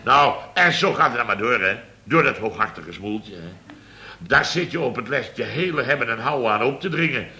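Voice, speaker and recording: An actor playing a blustering, indignant boss of a building firm in a Dutch radio comedy, exclaiming and grumbling. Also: male voice, mid-range voice, lively intonation, normal speed, sharp tone. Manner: exclamatory, grumbling, tags sentences with "hè" and "zeg".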